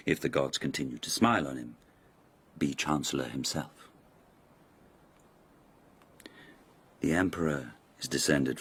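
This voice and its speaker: An actor playing an arrogant, sneering court nobleman in a radio drama, condescending and husky. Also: extremely low pitch. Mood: haughty. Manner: haughty, condescending, drawling innuendo.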